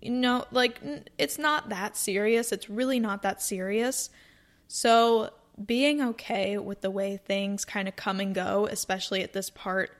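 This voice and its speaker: A woman's voice: medium pitch, unhurried. A woman in her twenties hosting a casual solo podcast, chatting in a rambling, upbeat way from a cozy room. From North Carolina, US. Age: 20s. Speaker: podcast host.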